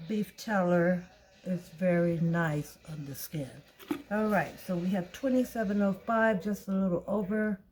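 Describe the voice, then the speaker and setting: A woman with a tired, raspy voice, talking casually through a soap-making tutorial and thinking aloud as she weighs ingredients. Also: lowish voice, background noise. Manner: slow, chatty, thinking aloud while weighing. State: tired voice, clearing throat. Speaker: soap maker.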